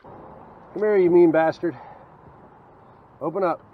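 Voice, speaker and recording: A young Southern man talks to an action camera from a small boat on a wooded river, with relaxed, half-mumbled asides to himself and the fish. The camera audio is a little dull. Faint outdoor sound sits behind his voice. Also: on the high side, slow, noisy background. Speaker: fishing channel host. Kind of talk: casual fishing vlog commentary.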